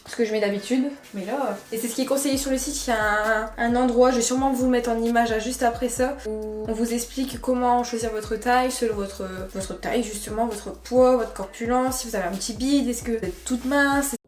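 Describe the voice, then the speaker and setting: A woman's voice: a little high, steady tone, brisk, echoey room. A woman with a cold chatting casually as she unboxes and tries on clothes, rambling and correcting herself. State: has a cold. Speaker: vlogger. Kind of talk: casual try-on haul chat.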